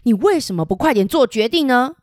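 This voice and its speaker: A woman voicing a brave young male retainer who speaks forcefully and presses his point. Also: lowish voice, animated, normal speed, dry recording, studio quality, natural voice.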